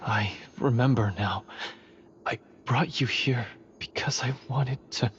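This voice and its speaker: A man's voice: medium pitch, slow pace, very noisy, close to an everyday voice. A man playing a volatile, bitter treasure hunter in a video game, dramatic and incredulous. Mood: shaken. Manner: dramatic, incredulous, swinging to outbursts. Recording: muffled game audio with music behind.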